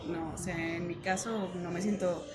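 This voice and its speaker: A woman with a Mexican accent telling a personal story casually as an interview guest, animated when she retells exchanges. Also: fairly low pitch, normal speed, crowd noise.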